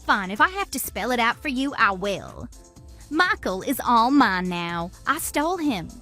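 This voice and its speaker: A woman acts out a gloating man-stealer in a radio drama. Her voice is bright and sharp, swooping with exaggerated, boastful taunts over a music bed. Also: fairly high pitch, very animated, measured pace, heavily acted. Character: smug, gloating mistress who steals other women's men. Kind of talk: scripted radio drama dialogue.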